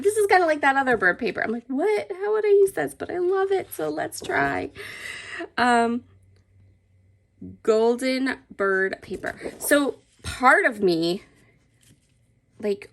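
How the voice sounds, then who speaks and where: A woman's voice: fairly high pitch, vocal fry. A woman hosting a casual scrapbooking unboxing, chatty and enthusiastic, her voice a bit stuffy from allergies. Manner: chatty and enthusiastic, gushing over papers. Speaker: scrapbooking channel host. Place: indoors at a craft table.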